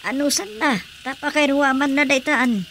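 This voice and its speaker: A rural Ilocano wife in a radio drama scene. Her voice is fairly high, with faint insect noise behind it. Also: fairly even intonation, moderate pace, a bit bright. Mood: conversational.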